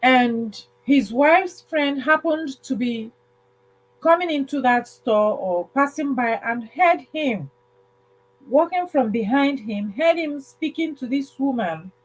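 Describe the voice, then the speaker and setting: A woman hosting a livestream, casually telling a story in a halting way, repeating phrases and checking in with listeners. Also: high-pitched, lively intonation, rough recording. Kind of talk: casual spoken storytelling with advice.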